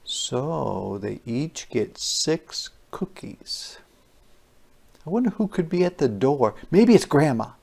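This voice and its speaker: Animated storyteller with slow, gravelly delivery. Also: mid-range voice. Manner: animated, playful wondering asides to young listeners. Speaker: storyteller reading a picture book aloud. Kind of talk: interactive children's read-aloud.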